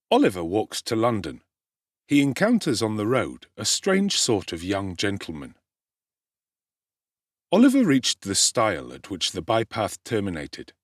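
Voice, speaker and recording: Low-voiced, animated male audiobook narrator. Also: dry recording, studio quality. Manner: expressive, measured storytelling. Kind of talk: dramatic scripted narration of a classic novel.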